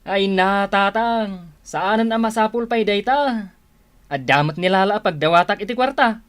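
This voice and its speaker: A man's voice: high voice, normal speed, a bit bright, clean tone. A man with an Ilocano accent playing a carefree, vain young freeloading husband in a radio drama. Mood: breezy, boastful. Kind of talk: Filipino radio drama acting.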